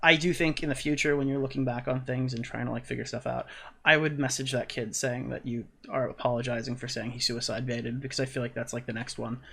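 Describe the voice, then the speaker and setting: Fast-talking creaky-voiced man on a call. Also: medium pitch, steady tone, bright, forceful tone. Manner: hedging slangy talk, swears freely. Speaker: caller in a recorded call. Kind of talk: casual, incredulous questioning. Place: online voice call.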